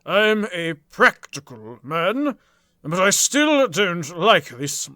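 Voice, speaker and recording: An actor playing a cautious, fussy, practical lawyer in a vintage-style radio mystery, polite and hesitant. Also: male voice, sits high, sing-song, takes it slowly, pushed and bright, no room sound, studio quality, full character voice. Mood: nervous, uneasy.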